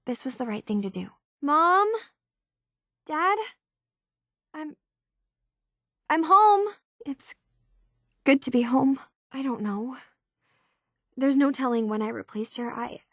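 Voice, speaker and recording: A woman's voice: sits high, no echo, studio quality. An actress playing an earnest young woman tinkerer searching for her identity in a video game, speaking in a natural, slightly hesitant conversational way. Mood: relieved, earnest, then emotional, unsure. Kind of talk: naturalistic game dialogue acting.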